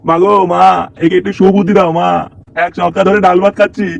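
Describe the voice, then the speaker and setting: A gravelly cartoon father acted out by a man, stingy and pious, with a fairly high voice and a sing-song, sarcastic drawl. He is recorded from slightly off the mic. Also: level tone, fast talker, darkish tone, distant mic, highs cut off. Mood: sarcastic, exasperated. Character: stingy poor middle-aged father, pious, teasing, grumbling. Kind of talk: comic cartoon dubbing.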